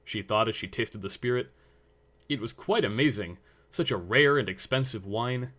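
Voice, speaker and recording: A man's voice: mid-range voice, normal speed, fairly bright tone. A man reading scripted story narration as the narrator.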